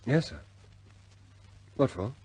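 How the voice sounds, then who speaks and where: An actor playing a loyal, deferential air force officer in a radio war drama, speaking with clipped understatement. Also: male voice, slow, mellow, close to an everyday voice, rough recording. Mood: subdued. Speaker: squadron pilot reporting to his superior.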